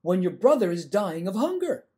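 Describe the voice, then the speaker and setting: A man's voice: high-pitched, very animated, moderate pace, mellow. A man voicing an indignant, admonishing preacher saint from a storybook, in a declamatory, emphatic manner. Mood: fervent rebuke.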